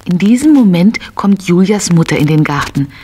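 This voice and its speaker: A woman's voice: lowish voice, expressive, moderate pace, warm tone, husky. A woman narrating a scripted children's story as the narrator of a radio play.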